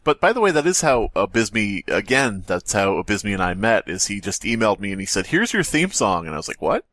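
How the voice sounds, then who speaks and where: A man's voice: fairly low pitch, sing-song, a little fast, fairly bright tone, no echo. A man co-hosting a podcast with casual comedic banter and dry, mock-modest joking. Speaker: podcast co-host.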